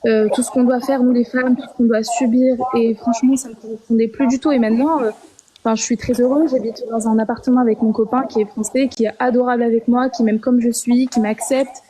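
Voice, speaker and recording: A young woman from Algeria calling in to share her story, talking hesitantly with many pauses and restarts. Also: on the high side, steady tone, dark tone, poor audio. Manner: hesitant, frequent filler sounds and restarts. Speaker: caller. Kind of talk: personal testimony on a call-in show.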